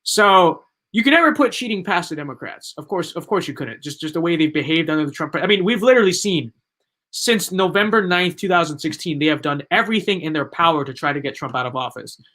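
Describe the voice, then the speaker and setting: Young male streamer, slightly high voice, rambling and emphatic, voice going hoarse. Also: animated, quick pace, sharp tone. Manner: rambling, emphatic, repeats himself. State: losing his voice. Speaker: livestream political commentator. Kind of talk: live-stream commentary.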